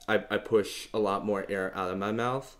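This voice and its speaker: A young man with a bass voice hosting a beatbox tutorial in a relaxed, rambling way, full of fillers. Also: normal speed. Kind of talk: casual instructional talk.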